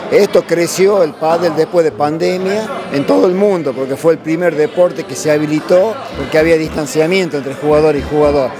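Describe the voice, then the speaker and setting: A man's voice: fairly high pitch, a little fast, hardly any pauses. A man in his fifties with an Argentine accent, a coach giving casual interview answers. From La Rioja, northern Argentina. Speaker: padel coach. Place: padel club by the courts. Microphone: handheld interviewer microphone. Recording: noisy crowd around the club.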